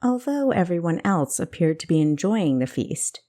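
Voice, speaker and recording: Female audiobook narrator for fantasy fiction, slightly lower register, dramatic storytelling delivery. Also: very animated, moderate pace, dark tone.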